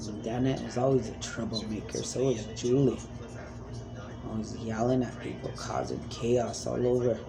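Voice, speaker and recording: A woman's voice: rumbling low, little pitch movement, moderate pace, creaky, over music. A woman speaking as a self-described spirit medium in a rambling, emphatic monologue, pleading and repeating commands.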